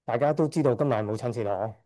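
A man's voice: medium pitch, rapid-fire, warm tone. A middle-aged man from Hong Kong giving a church testimony, hesitant, often correcting himself and chuckling shyly. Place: church service.

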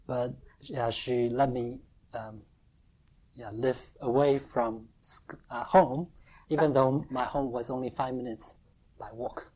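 A woman gives a sermon in short, halting phrases, pausing often so an interpreter can follow. Her voice sits extremely low for a woman, and her delivery is measured and unhurried. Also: warm tone, slightly rough, rough recording. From Taiwan. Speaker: preacher giving testimony.

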